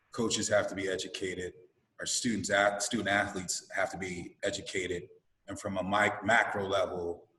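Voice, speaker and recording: A man coach speaking at a town hall discussion, measured and searching for his words. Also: low voice, fairly bright tone. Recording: echoey room with long reverb.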